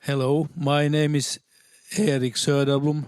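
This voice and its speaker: A man's voice: a little high, flat delivery, a little mellow, clear, resonant tone, no room sound, clean studio sound. A man whose first language is Swedish, making an earnest spontaneous comment from the audience at a seminar, full of restarts. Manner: halting, many restarts, earnest and persuasive.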